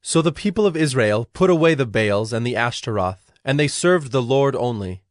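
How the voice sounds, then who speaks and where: A man narrating scripture from a script in a measured, solemn storytelling manner. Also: medium pitch, expressive, no echo, studio quality.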